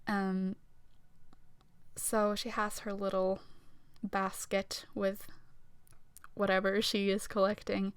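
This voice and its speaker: A young woman from Sweden with a Swedish accent, talking quietly and self-consciously over her speed drawing, with small nervous laughs. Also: mid-range voice, completely flat delivery, takes it slowly, long pauses, smooth, no room sound. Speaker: art vlogger. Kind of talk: casual voice-over talk during a speed drawing. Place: home room.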